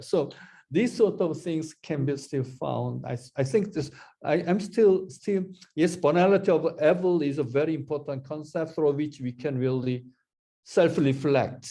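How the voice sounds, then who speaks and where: Halting man talking through an academic Q&A. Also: a little high, dark tone. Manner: halting, repeats words, many restarts. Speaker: lecturer answering questions. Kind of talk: academic Q&A discussion. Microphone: video call.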